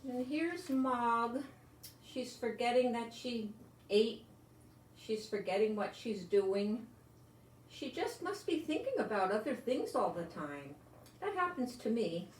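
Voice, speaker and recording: An older woman reads a picture book to young children at an unhurried pace, with plenty of lilt in her delivery. She lingers on words and drops in chatty asides. The recording sounds roomy. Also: fairly high pitch. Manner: expressive, lingering on words, talking as to small children. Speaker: storyteller reading a picture book aloud. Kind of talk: read-aloud children's story with chatty asides. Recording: roomy home recording with faint noise.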